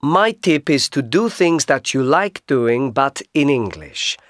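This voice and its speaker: A man giving a scripted tip in a slow, deliberate way. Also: mid-range voice, lively intonation, fairly bright tone, dry recording, clean studio sound. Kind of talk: scripted textbook audio.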